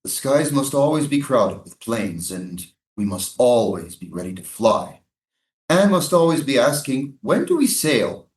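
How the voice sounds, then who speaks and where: A man's voice: medium pitch, big pitch swings, a little slow, darkish tone. A man in a staged play reading, playing a defensive, sardonic American military prison guard. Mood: cynical, weary. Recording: roomy, echoing live staged reading.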